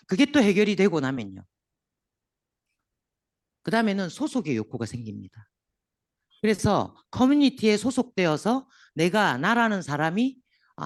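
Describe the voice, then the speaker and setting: A man with a hoarse, sore throat lecturing a room of business owners, joking and asking the audience questions as he goes. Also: a little high, dry recording, studio quality. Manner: lively, jokes with the audience, asks questions. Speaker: seminar lecturer.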